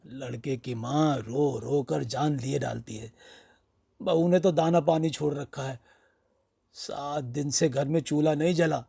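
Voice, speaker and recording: A man voicing a hearty, flattering old villager in a storybook reading, coaxing and pleading in a familiar, emphatic way. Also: fairly high pitch, a little slow, vocal fry, slightly rough, no echo, clean studio sound, full character voice. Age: older.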